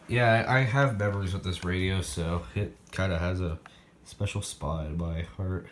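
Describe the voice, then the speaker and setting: A man's voice: low voice, normal speed. A man giving a casual, unscripted show-and-tell of his collection, rambling and thinking aloud as he handles gear. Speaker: hobbyist showing a weather radio collection. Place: indoor room, recorded while handling radios. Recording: echoey room with loud background noise.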